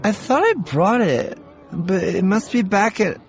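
A man's voice: sits high, expressive, measured pace, faint music behind, voice pushed up. Man putting on a light, tipsy woman's voice. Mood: vexed. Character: woman, slightly drunk, chatty then vexed. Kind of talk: audiobook character acting.

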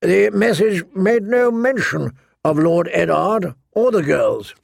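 A man voicing a gruff, grumbling old male military commander who sounds weary and disdainful. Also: sits high, lively intonation, slow, dry recording, clean studio sound, pitched above the performer's own voice, heavily acted.

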